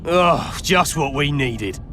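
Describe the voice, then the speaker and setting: An actor playing a defiant, foul-mouthed common thug in a video game, gravelly, brash and insulting. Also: male voice, a little high, lively intonation, slow pace, sharp tone, a little hiss or hum, full character voice. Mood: jeering. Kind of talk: video game cutscene dialogue.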